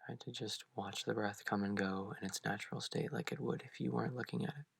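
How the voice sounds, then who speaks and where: A man leading a beginners' guided meditation, speaking gently and unhurriedly with long pauses. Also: lowish voice, fairly even intonation, creaky, breathy edge.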